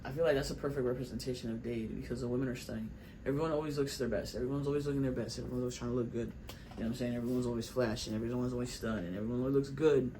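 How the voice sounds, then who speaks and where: A man's voice: mid-range voice, steady tone, rapid-fire. Young man, rambling reflective talk, faint hiss in the studio. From Miami, South Florida. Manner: rambling, reflective, repeats himself. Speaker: rapper and vlogger. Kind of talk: casual studio vlog talk. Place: recording studio.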